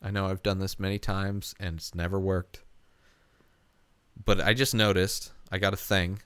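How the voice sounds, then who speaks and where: Man, lowish voice, dry close sound, halting and profane, casual comedic podcast banter. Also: flat delivery, a bit bright, clean tone, no echo. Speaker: podcast host.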